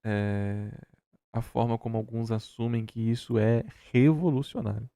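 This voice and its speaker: A man's voice: medium pitch, steady tone, a little slow, creaky, mellow, no room sound. A Brazilian man co-hosting a reaction show, commenting casually in a sarcastic, argumentative and emphatic way.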